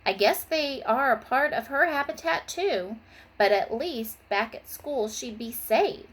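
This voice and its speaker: A woman reading a storybook aloud as an excitable young schoolgirl who is devoted to her pet. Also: sits high, a little slow, breathy edge, voice pushed up, exaggerated voice. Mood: pleading.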